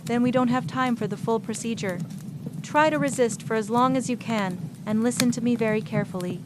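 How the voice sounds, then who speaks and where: A woman's voice: fairly high pitch, brisk, background noise, close to an everyday voice. A woman voicing a stern, weary old male policeman who gives grave instructions in an audiobook. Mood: serious. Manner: grave, instructing, clipped warnings.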